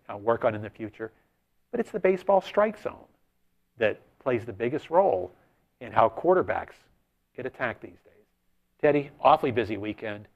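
A man's voice: medium pitch, lo-fi sound. A man co-hosting a sports betting show, explaining his analysis step by step. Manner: explanatory, builds an argument step by step.

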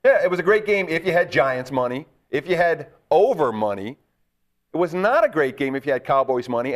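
A man hosting a sports betting show, with a gravelly voice and an animated, chatty delivery. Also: medium pitch. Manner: animated, talks up his bets with quick asides. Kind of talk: casual sports betting talk.

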